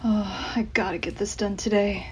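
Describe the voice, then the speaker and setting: A woman's voice: medium pitch, level tone, moderate pace, a little hiss or hum, close to an everyday voice, low-quality recording. A woman playing a shy, weary accountant in a radio mystery drama, grumbling to herself in a guarded way. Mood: stressed. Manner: muttering to herself, sighing, guarded.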